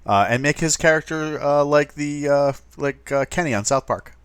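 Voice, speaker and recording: A man co-hosting a podcast, talking loudly with many hesitant fillers as he reads chat comments. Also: on the high side, moderate pace, smooth, no echo. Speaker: podcast co-host reading chat. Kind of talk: casual chat.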